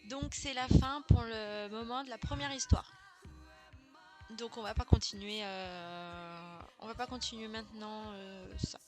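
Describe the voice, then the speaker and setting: A woman's voice: mid-range voice, measured pace. A mother streaming a video game, chatting casually with viewers and hesitating often. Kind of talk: casual gameplay stream chat. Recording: game music playing behind.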